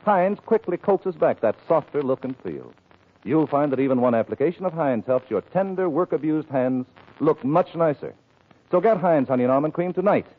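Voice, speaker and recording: High, animated vintage radio announcer, male. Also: moderate pace. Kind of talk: vintage radio advertising read. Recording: tinny, band-limited old radio recording.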